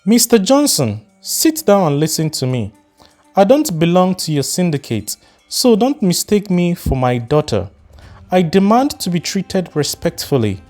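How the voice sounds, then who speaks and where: A man voicing an indignant middle-aged mother who confronts a stranger with sharp demands. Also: high voice, sing-song, measured pace, soft background music, voice pushed up. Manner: confrontational, firing questions.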